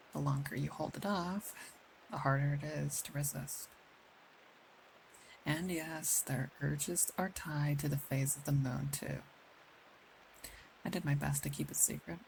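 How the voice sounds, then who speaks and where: A woman voicing a caring, protective female werewolf in an intimate ASMR roleplay, speaking gently and confidingly with pauses. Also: low voice, fairly even intonation, vocal fry, a little hiss or hum, exaggerated voice, low-quality recording. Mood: matter-of-fact, wry. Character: caring, protective female werewolf, older than she looks, wistful. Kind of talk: ASMR roleplay, intimate scripted acting addressed to one listener.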